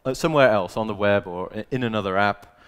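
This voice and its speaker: A man's voice: fairly low pitch, quick pace, clean tone. A young man giving a casual presentation talk as a conference speaker, conversational and full of fillers and restarts.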